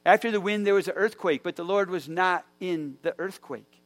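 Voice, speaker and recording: A man delivering a sermon in a loose, conversational way, often restarting his sentences and leaning on fillers. His voice sits a bit higher than most men's, and he keeps the tone relaxed even as he tells the story with energy. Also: normal speed. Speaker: pastor.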